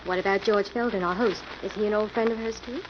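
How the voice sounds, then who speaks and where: A woman's voice: mid-range voice, fairly even intonation, fast, close to an everyday voice. A woman playing a curious, starry-eyed young detective's companion in a 1940s radio drama, eager and inquisitive. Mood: curious, admiring. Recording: muffled, very noisy old radio recording.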